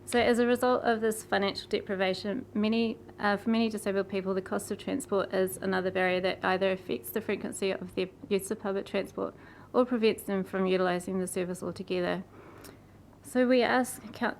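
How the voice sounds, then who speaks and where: A woman with a croaky, gravelly voice reads a prepared submission to a council meeting. Her pitch stays flat and even. She speaks earnestly and apologizes for her stumbles. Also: fairly quick, a little hiss or hum. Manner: earnest, apologetic, laughs nervously at slips. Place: council chamber.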